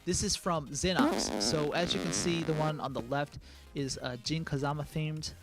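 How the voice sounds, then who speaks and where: Relaxed man presenting products with fillers. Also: normal speed, creaky, over loud music. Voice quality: calls his own voice high. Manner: relaxed, filler-heavy speech with many "uh"s. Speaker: game producer presenting merchandise.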